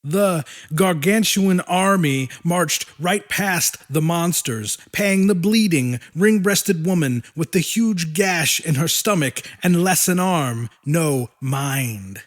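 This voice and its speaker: A man's voice: a little high, lively intonation, takes it slowly, few pauses. A man narrating a fiction audiobook in a dramatic, scripted storytelling style.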